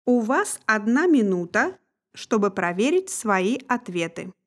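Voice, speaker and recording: A woman announcing the instructions and timings of a language listening exam in a crisp, formal manner. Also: medium pitch, very animated, measured pace.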